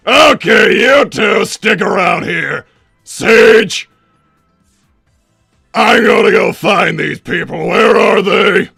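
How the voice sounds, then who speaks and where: A man's voice: high voice, slow, gravelly, bright, forceful tone, slightly rough, no room sound, raised voice, studio quality, faint music behind, exaggerated voice. A man playing a boastful, gloating mad-doctor king in a theatrical cartoon roleplay, proclaiming things with bombast. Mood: commanding. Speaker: self-crowned king and father.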